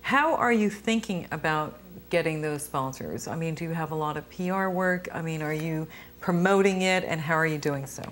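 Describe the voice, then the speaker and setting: A woman from the United States hosting a TV interview show, encouraging and measured, with some fillers. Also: low voice, slight background noise. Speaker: TV show host.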